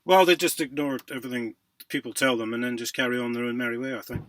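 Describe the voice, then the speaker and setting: A man's voice: mid-range voice, fairly even intonation, quick pace, fairly bright tone. A British man in a casual phone conversation, speaking in an insistent, plain-spoken, matey way. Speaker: caller disputing a court judgment.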